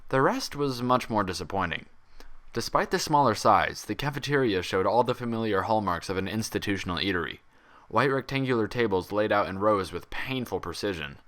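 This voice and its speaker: Low, bright, animated male storyteller. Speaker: fan fiction narrator. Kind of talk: scripted story narration.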